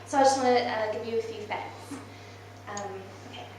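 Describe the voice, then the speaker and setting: A woman's voice: on the high side, normal speed, distant mic, slight background noise. Young woman speaking in an echoey church hall. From Malaysia, raised in New Zealand. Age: 20s. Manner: informal, with frequent ums and soft laughs. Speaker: church speaker presenting her mission work. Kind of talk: presentation talk to a church congregation. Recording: very echoey hall, recorded from afar.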